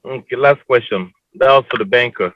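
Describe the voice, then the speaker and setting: A man's voice: mid-range voice, fairly quick, no room sound. A man in a mid-range voice moderates a forum and asks the panel long questions. He halts and restarts his sentences. His voice comes across dull and lo-fi, with no room sound around it.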